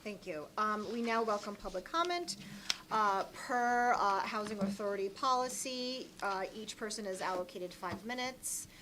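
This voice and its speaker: A woman's voice: mid-range voice, animated, normal speed, pushed and bright, slight background noise, poor audio. A woman chairing a public board meeting, speaking hesitantly as she works through procedure.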